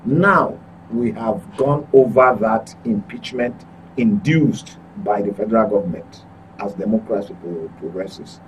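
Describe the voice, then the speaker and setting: A man's voice: lowish voice, unhurried, far from the mic, slight background noise, poor audio. A man with a Nigerian accent giving political commentary, often repeating and correcting his words as he goes. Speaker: current affairs commentator.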